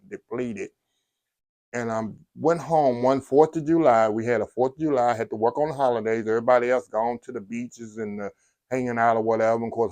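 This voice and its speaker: A man's voice: mid-range voice, darkish tone, dry recording. A man, earnestly recounting a personal story to his viewers and often repeating himself. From Texas. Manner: earnest, repeats phrases, addresses viewers as y'all. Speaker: vlogger sharing a personal story. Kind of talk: emotional personal testimony.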